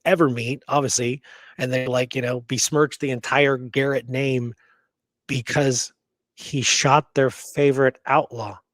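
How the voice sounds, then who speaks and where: A man's voice: mid-range voice, fairly even intonation, measured pace, fairly bright tone, no room sound. A man hosting a casual history discussion, opinionated and emphatic, repeating words for stress. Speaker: host of a history talk show.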